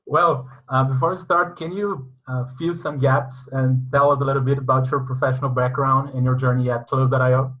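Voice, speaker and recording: Husky-voiced male interviewer, dull recording. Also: medium pitch, fairly even intonation, fairly quick, hardly any pauses, fairly bright tone. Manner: formal, reads long introductions and questions. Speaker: interviewer, sales consultant. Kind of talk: interview hosting.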